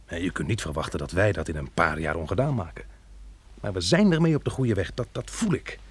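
An actor playing a steady, resolute man who leads survivors in a radio drama. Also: low-pitched, brisk, breathy edge, heavily acted. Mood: earnest, reflective. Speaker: lead actor and narrator in a radio play.

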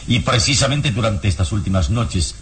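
An actor playing a grave, commanding heroic investigator in a radio drama, speaking deliberately with dramatic pauses. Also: male voice, on the low side, expressive, fairly bright tone, a little hiss or hum. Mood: grave, accusing.

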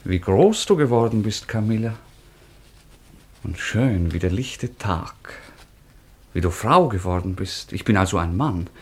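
A man's voice: lowish voice, expressive, a little slow, pauses often, breathy edge. An actor playing a tender, gallant young nobleman in a radio play, speaking lyrically. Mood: delighted, tender. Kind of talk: German radio play of a classic stage comedy.

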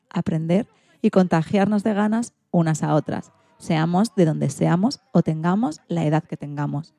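Low-pitched mellow woman radio host from Spain. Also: creaky, no echo. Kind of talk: scripted radio presenting.

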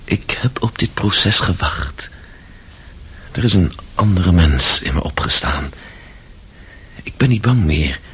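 An actor playing a tormented, self-loathing Russian prisoner in a radio drama, slow and confessional in manner. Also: male voice, low-pitched, animated, fairly bright tone, slight background noise, full character voice. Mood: brooding resolve. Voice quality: husky.

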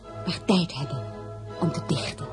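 An actress playing a poised, idealistic crown princess in a historical drama, speaking in a measured, earnest way. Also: female voice, low voice, loud music behind the voice. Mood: solemn, idealistic. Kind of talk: dramatised historical novel, radio drama acting.

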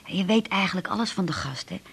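A woman's voice: medium pitch, lively intonation, quick pace, vocal fry, husky, full character voice. A woman playing a chatty, down-to-earth working-class former chambermaid in a radio drama, telling stories in an earthy, effusive, rambling way. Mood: nostalgic, chatty.

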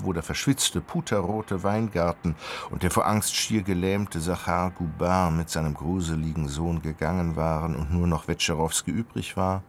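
A man's voice: low voice, creaky, a little hiss or hum. A man narrating a radio play in a scripted, literary storytelling style.

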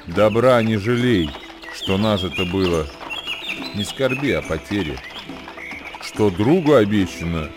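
A man performing a solemn, measured dramatic recitation of old proverbs as a radio actor. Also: on the low side. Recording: music behind the voice. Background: music.

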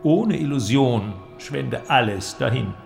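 An actor playing an eloquent, cynical inventor who argues in a declamatory, creaky voice in a literary audio drama. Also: male voice, mid-range voice, animated, takes it slowly, faint music behind, full character voice. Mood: sardonic, impassioned. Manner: declamatory, argumentative, rhetorical. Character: male inventor, cynical, eloquent philosophical persuader.

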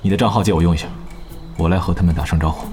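An actor playing a cool, terse top pro gamer who speaks in calm, clipped lines. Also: male voice, deep voice, racing, music in the background, close to an everyday voice. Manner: calm, curt, understated.

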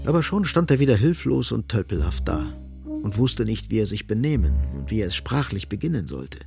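Gravelly, lowish male radio drama narrator. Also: big pitch swings, brisk, music in the background.

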